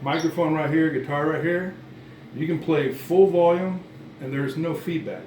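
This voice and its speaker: Male, casual hands-on explainer, halting delivery, off mic in an echoey, noisy room. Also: on the high side, darkish tone, recorded from a distance. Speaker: musician demonstrating PA gear. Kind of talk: casual explanatory demonstration chat. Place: dining room at home, indoors. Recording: roomy, noisy home recording off mic.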